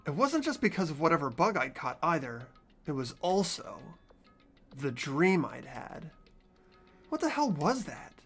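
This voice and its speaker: An anxious high school boy as a performer acts him out narrates a game mystery in an earnest, hesitant voice of middling pitch. The delivery is dramatic and expressive, over music. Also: measured pace. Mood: weary, uneasy. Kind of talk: scripted visual novel narration. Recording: background music behind voice.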